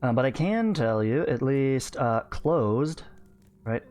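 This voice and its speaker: A man's voice: medium pitch, lively intonation, takes it slowly, darkish tone. A man in his thirties teaching math, reading a textbook aloud and adding informal explanatory asides into a close microphone. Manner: informal, explanatory, often checking with "right?" and "okay". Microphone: close studio microphone on a stand.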